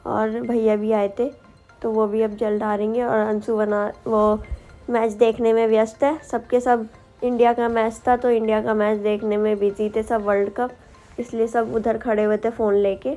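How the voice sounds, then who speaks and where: A woman's voice: fairly high pitch, level tone, normal speed, warm tone, faint music behind. A young woman with a sore throat, narrating her family's festival rites as a vlog voice-over, explaining each step. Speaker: vlogger.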